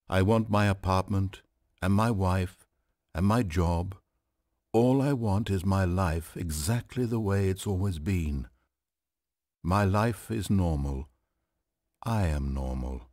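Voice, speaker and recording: A man's voice: low voice, darkish tone, no room sound, studio quality, heavily acted. An actor voicing a desperate, ordinary office worker in a video game, pleading intensely as he loses his sanity.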